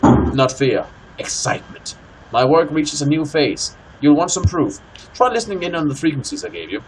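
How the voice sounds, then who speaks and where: An ominous, obsessive male letter writer played by a man, reading in halting, theatrical phrases. He sounds excited and fervent, in a medium-pitched voice over faint game noise. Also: lightly acted, rough recording. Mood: ominous excitement.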